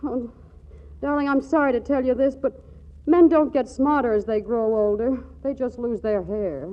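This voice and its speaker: An actress playing a restless, exasperated but loving young wife in a 1940s radio comedy, with fluttery, stammering delivery. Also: female voice, on the high side, moderate pace, slight background noise, close to an everyday voice. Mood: fretful, insistent. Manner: flustered, fluttery, stammering repeats. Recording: dull 1940s radio broadcast recording.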